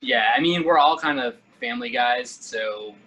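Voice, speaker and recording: A man from Virginia, singer and bassist of a band, answering interview questions in an easygoing way. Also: normal speed, a bit bright, rough recording. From Virginia, USA. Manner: easygoing, laughs often.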